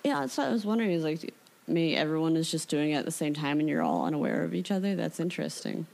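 Female podcast interviewer, notably low register, casual and chatty, informal phrasing. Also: big pitch swings, fast, creaky, darkish tone. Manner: informal, with frequent "like".

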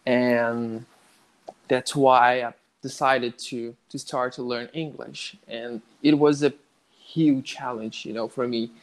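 Brazilian guy, late twenties, Portuguese accent, halting English, lots of "you know", mid-pitched voice. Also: steady tone. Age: 20s. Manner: hesitant, many restarts and "you know" fillers. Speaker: guest, network engineer learning English. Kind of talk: casual interview.

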